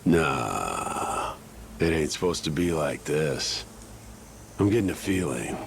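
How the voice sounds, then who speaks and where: An actor playing a gruff, loyal sea captain, tough and blunt but caring, in a dramatic game cutscene. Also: male voice, rumbling low, big pitch swings, slow, slight background noise, exaggerated voice. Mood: uneasy. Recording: music under the voice.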